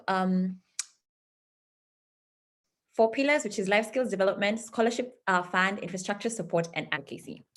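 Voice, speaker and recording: A woman from Kenya, founder of a nonprofit, giving an earnest, measured presentation on a video call with frequent fillers. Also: medium pitch, fairly bright tone. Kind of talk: online conference presentation.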